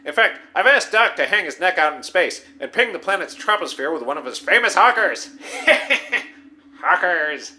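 A pompous starship captain, as a man acts him, barking orders and announcing his full title in a comedy audio play. The voice is sharp and piercing, high for a man and full of swoops. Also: sing-song, moderate pace, exaggerated voice. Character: pompous self-important male starship captain.

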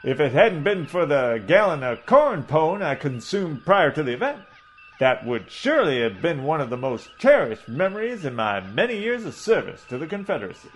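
A man's voice: a little high, big pitch swings, moderate pace, a little hiss or hum. A man voicing a pompous old male ship's doctor in a comedy audio drama, talking in a florid, preachy way.

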